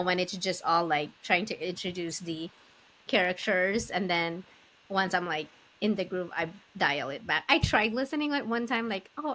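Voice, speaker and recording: A woman with a mid-pitched voice and very animated intonation. She co-hosts a casual podcast conversation, wandering informally through her thoughts and acting out her own reactions. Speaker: podcast co-host. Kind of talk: casual interview chat.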